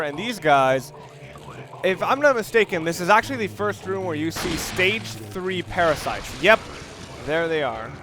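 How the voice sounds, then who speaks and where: A man giving casual let's play game commentary, explaining things analytically and often correcting himself as he goes. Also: fairly high pitch. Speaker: gameplay commentator. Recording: noisy recording with clear background noise.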